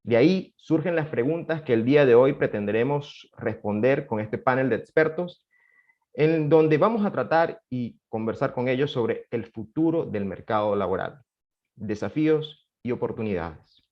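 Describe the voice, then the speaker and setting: A man's voice: medium pitch, animated, muffled recording. A man acting as moderator of a panel, reading a formal prepared introduction. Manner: formal, measured delivery.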